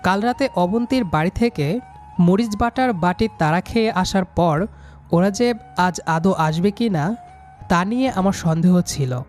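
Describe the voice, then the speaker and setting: A man's voice: high-pitched, lively intonation, a little slow, darkish tone. A man narrating a scripted first-person story as the storyteller. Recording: music behind the voice.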